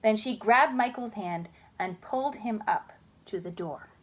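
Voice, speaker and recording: A woman reading a children's story aloud in an expressive, unhurried way, with chatty asides. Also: mid-range voice.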